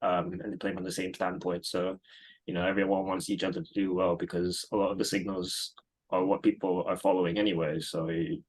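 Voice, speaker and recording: This is a man in his thirties, originally from Singapore, talking as an interview guest. His voice sits very low. He rambles at an easy pace, with plenty of ums and restarts. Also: fairly quick, slightly rough, rough recording. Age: 30s. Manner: relaxed, rambling, many fillers and restarts. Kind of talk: casual interview answers.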